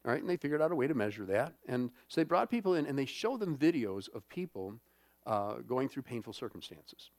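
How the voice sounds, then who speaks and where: Lively-voiced male pastor preaching. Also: mid-range voice, fairly quick, dry recording. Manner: conversational, many fillers and check-ins. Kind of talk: sermon. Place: church.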